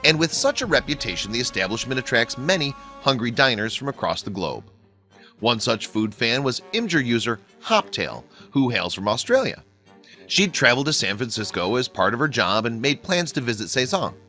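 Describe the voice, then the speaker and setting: A man reading a scripted video narration with a bright, forward tone. His pitch swings widely and his delivery bounces along. Soft music plays quietly under the voice. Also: moderate pace. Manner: lively, bouncy read with wide pitch swings. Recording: faint music bed behind the voice.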